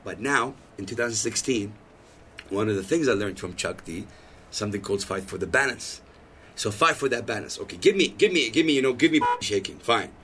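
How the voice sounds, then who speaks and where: A man's voice: on the low side, a little fast, a little hiss or hum. A man in his thirties, a podcast guest, telling stories in casual chat and acting out the lines he quotes. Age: 30s. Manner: animated storytelling, acts out quoted lines.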